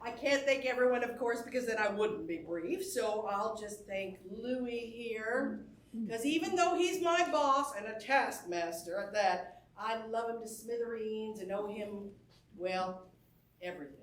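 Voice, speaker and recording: A woman's voice: a little high, lively intonation, a little slow. A woman playing an exuberant, effusive female movie star giving a folksy thank-you speech in a theatrical staged reading. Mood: gushing, playful. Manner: effusive, folksy, chuckling between phrases. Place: indoor stage before a live audience. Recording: roomy stage sound with faint noise.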